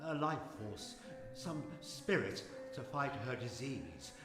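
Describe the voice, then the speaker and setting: A man's voice: medium pitch, very animated, slow pace. Actor as an earnest, echoing male occultist. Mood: defensive, regretful. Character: earnest, defensive occultist who summoned a spirit, now regretful. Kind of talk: live radio drama acting. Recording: echoey hall, music behind.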